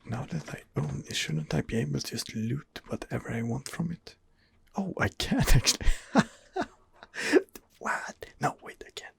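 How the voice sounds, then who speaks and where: A man giving ASMR game commentary in a breathy, husky whisper, hesitant and rambling as he muses his way along. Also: medium pitch, lively intonation, moderate pace. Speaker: video game streamer, channel host.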